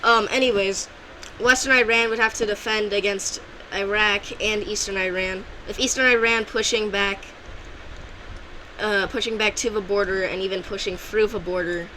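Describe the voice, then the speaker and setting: A woman's voice: mid-range voice, steady tone, moderate pace, sharp tone, slight background noise, rough recording. A woman narrating an alternate-history map video in a loose, unscripted way, often restarting and correcting herself mid-sentence. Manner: loose and rambling, with frequent restarts and self-corrections. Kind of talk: casual unscripted map commentary.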